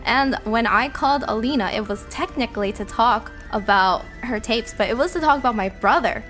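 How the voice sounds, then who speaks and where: Woman, high bright voice, casual podcast chat, giggly and self-deprecating, faint music underneath. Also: a little fast. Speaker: podcast voice actor.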